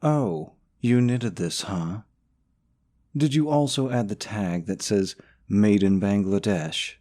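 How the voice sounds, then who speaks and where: A man's voice: fairly low pitch, slow pace, warm tone. A young man acting in an audio roleplay as a scheming, possessive boyfriend, falsely sweet and coaxing, snide about his rival. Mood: mocking, insistent.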